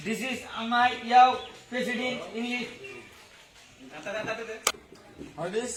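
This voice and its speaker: A man acts out a bumbling, self-important village politician in a homemade comedy skit. His voice-changed speech is extremely high, halting and bombastic. It is picked up from a distance in an echoey space with noise behind it. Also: steady tone, exaggerated voice. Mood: loud, blustering. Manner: halting, bombastic, garbled broken English mixed in. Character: bumbling, blustering male village politician promising favours. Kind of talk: amateur comic skit speech. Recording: echoey, distant pickup with clear background noise.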